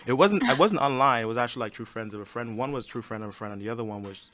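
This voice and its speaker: Lively Trinidadian man, bright voice. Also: mid-range voice, very fast, slight background noise. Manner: laughs often, animated storytelling. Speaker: panel guest. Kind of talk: casual group discussion.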